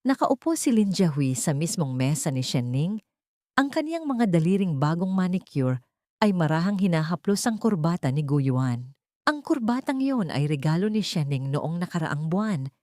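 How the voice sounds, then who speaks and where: A woman's voice: low voice, mellow, no room sound, clean studio sound. A woman narrating a scripted emotional story as a dramatic storyteller.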